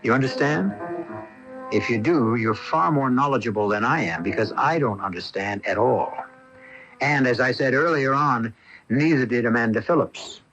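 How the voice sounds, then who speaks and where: A man's voice: mid-range voice, moderate pace, lo-fi sound. Musing male mystery narrator over faint music. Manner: musing, addresses listener directly. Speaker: radio drama host narrator. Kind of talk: theatrical scripted narration. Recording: old radio broadcast.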